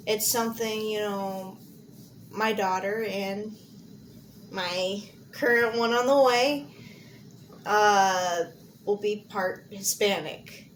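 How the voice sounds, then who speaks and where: A young American woman from Montana speaks at a middling pitch in a casual interview. She is candid and informal, working out her answers as she talks. The amateur recording carries clear background noise. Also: poor audio. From Montana, United States.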